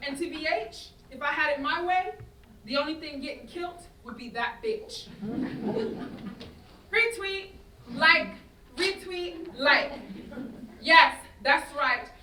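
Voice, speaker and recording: A woman's voice: sits high, animated, takes it slowly, pauses often, bright, forceful tone, exaggerated voice. A woman playing a brash, sardonic young social media ranter in an emphatic, slangy staged monologue. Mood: sardonic, indignant. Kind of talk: theatrical monologue in staged reading. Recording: roomy, distant, faint noise.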